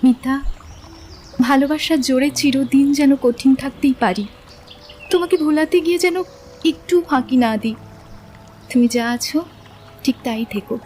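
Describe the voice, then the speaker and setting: A woman playing a gentle, earnest young woman in a romantic audio drama, speaking softly and candidly about her doubts in love. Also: sits high, a little hiss or hum. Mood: gently firm. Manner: soft-toned, sincere, measured. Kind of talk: Bengali literary audio drama acting.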